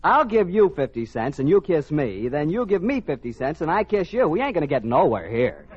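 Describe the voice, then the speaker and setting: An actor playing a cocky, wisecracking customer with brash, slangy talk in 1940s radio comedy. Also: male voice, a little high, very animated, a little fast. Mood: pushy. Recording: muffled, noisy old radio recording, studio audience.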